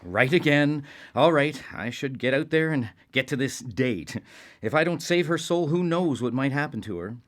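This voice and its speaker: A man's voice: medium pitch, lively intonation, normal speed, lightly acted. A man playing a hapless, self-important savior of souls in a radio play, talking to himself in a grandiose, theatrical way. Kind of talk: live radio play acting.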